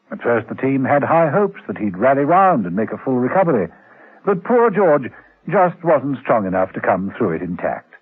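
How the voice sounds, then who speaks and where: Husky male narrator of an old radio serial. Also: mid-range voice. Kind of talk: scripted, wry story narration. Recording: tinny vintage radio, music behind.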